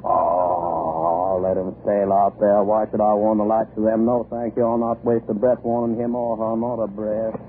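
A man's voice: fairly low pitch, level tone, moderate pace, hardly any pauses, natural voice. Through a narrow, lightly hissing 1930s radio recording, a man plays a plain working-class local. He grumbles in a folksy, grudging way, full of indignant disapproval, in the manner of old radio drama.

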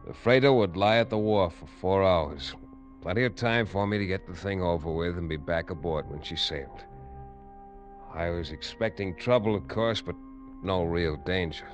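An actor playing a tough, assured American manhunter, terse and understated, in radio drama narration and dialogue. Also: male voice, low-pitched, normal speed, soft background music, close to an everyday voice. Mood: grim, reflective.

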